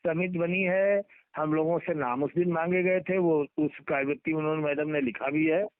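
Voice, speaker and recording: Man, middle-aged, high-pitched voice, teachers' union leader, repetitive emphatic phrasing, dull narrow phone recording. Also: fairly even intonation, moderate pace, no echo. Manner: repeats words and phrases, agreeing emphatically. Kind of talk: informal phone conversation. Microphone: telephone. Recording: muffled recorded phone call.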